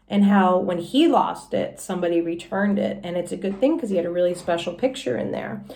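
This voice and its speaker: A woman teacher reading a children's storybook aloud in an expressive, explanatory way. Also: medium pitch.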